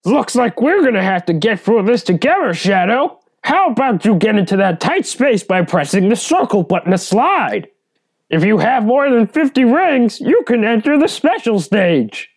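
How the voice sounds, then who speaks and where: A man puts on a young boy sidekick from a video game. The delivery is earnest and chipper, with animated pitch as it offers helpful hints. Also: heavily acted.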